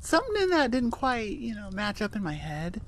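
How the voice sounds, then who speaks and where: A woman's voice: fairly high pitch, sing-song, moderate pace, a little hiss or hum. Chatty American woman, casual outro. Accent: General American. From United States. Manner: chatty, self-deprecating, laughing at herself. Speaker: ASMR voice actor.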